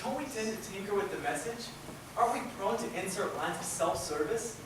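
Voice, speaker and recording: A woman's voice: mid-range voice, expressive, fairly quick. A woman giving dramatic scripted narration in a readers' theatre group. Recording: echoey hall, distant mic, loud noise.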